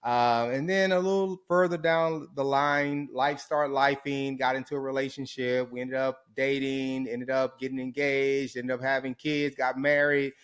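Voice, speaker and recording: Guy from houston talking about his life on a podcast, mid-pitch voice, upbeat and positive, easygoing. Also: little pitch movement, moderate pace, few pauses, fairly bright tone, smooth. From Houston, Texas. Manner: upbeat, reflective storyteller. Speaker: interview guest. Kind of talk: casual interview chat.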